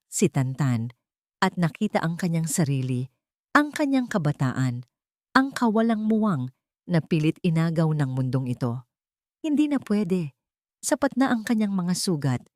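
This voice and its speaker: A woman's voice: low-pitched, a little mellow, no echo, clean studio sound. A woman narrating a dramatic romance story in an emotive storytelling manner.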